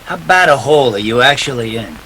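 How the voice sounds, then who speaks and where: An actor playing a hard-boiled, wry private detective in a 1940s-style radio play, with clipped, slangy, sardonic delivery. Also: male voice, mid-range voice, animated, a little fast, sharp tone, a little hiss or hum, low-quality recording. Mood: sardonic, probing. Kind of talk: 1940s radio noir acting.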